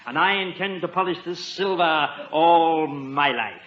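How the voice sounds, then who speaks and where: A man plays a pompous, unflappable English butler with a high-set voice and dry, formal politeness. His English accent comes through a dull, echoey 1950s radio comedy recording, with a faint audience behind him. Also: measured pace, natural voice. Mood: haughty, insistent. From England. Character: prim, unflappable English butler, gentleman's gentleman. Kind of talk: 1950s radio sitcom acting before an audience.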